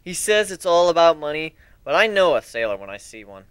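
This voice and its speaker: A man's voice: on the high side, big pitch swings, a little fast, a bit bright, clean tone, no echo. A man voicing a cocky, slangy griffin adventurer in an amateur dramatic story reading. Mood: matter-of-fact.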